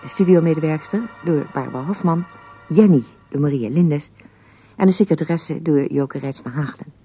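Closing-credits announcer for radio drama: mellow, medium-pitched, scripted delivery. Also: normal speed, muffled recording, faint music behind.

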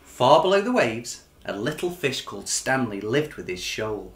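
A man, reading a children's storybook aloud with dramatic, animated expression. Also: medium pitch, moderate pace, reverberant. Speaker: children's author reading his own book. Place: furnished living room, indoors.

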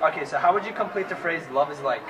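A teenage student interviewer with a handheld microphone, briskly asking classmates the same question in a school hallway. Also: male voice, on the high side, very noisy. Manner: brisk repeated prompting questions. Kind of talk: casual street-style interviews. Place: busy school hallway, indoors. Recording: loud crowd chatter behind.

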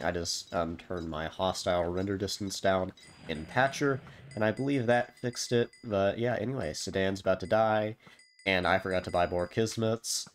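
A man with a notably low voice talks through his gameplay in a loose, rambling way, joking at his own bad luck. He sounds stuffed up from a cold, and a faint hiss sits under the recording. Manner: rambling, wry, self-deprecating. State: congested with a cold. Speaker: gaming channel host. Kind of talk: casual gameplay commentary.

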